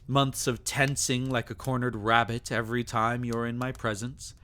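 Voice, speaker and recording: A man's voice: mid-range voice, fairly bright tone. A man acting as a sadistic, possessive vampire lord in an intimate ASMR roleplay, teasing and taunting with theatrical, menacing endearments.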